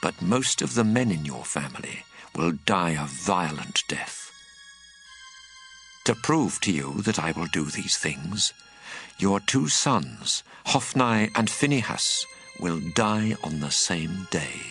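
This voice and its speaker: A stern god giving judgement in an audio drama, lowish voice, solemn, music going behind it. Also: male voice, big pitch swings, fairly bright tone, heavily acted. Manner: solemn, measured. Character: stern, authoritative God condemning through his prophet's message. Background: prominent music.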